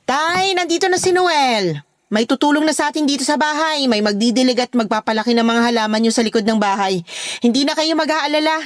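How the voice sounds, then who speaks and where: A woman's voice: high voice, animated, brisk, no echo. A woman with a Filipino accent playing a protective, bossy daughter running a household in a horror audio drama. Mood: calling out.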